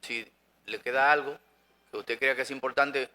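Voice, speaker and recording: A man's voice: mid-range voice, fairly even intonation, moderate pace, pushed and bright, slight background noise. A man chairing a panel, telling the speaker that her time is up in a formal, courteous tone.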